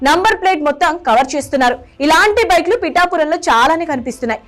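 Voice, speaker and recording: A woman reporter narrates a news item in a high voice. Her delivery is quick and scripted. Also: expressive, a bit bright.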